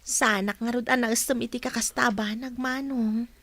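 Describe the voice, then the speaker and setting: A shy, lonely young office woman with a soft, modest way of talking. Her voice sits a little high and is recorded dry and close, as in a studio radio play. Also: fairly even intonation, normal speed, no room sound. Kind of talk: radio drama acting.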